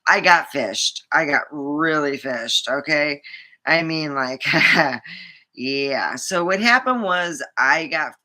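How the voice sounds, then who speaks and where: A woman's voice: sits low, lively intonation, slow, pushed and bright, low-quality recording. A woman vlogger chatting casually and rambling, with many fillers and restarts.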